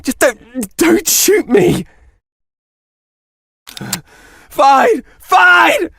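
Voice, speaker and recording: Man voicing a volatile, tormented cartoon man, wildly swinging pitch. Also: animated, extremely slow, gravelly, bright, forceful tone, dry recording, raised voice, exaggerated voice. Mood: desperate pleading. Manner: theatrical, swearing, volatile delivery. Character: tormented cartoon man, bitter, taunting, vengeful, then desperate. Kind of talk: dramatic visual novel game voice acting.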